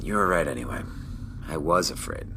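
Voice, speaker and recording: A weary male starship captain, with a deep voice. He sounds thoughtful and unsure of himself, speaking in subdued, natural sci-fi audio drama dialogue over faint ambient noise. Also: expressive, fairly quick, gravelly, full character voice. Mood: weary, lost.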